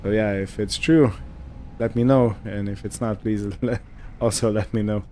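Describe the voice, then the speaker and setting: A man's voice: on the low side, steady tone, moderate pace, darkish tone, slight background noise. A man giving casual, musing gameplay commentary, hesitant and thinking aloud as he goes. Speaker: gaming video host.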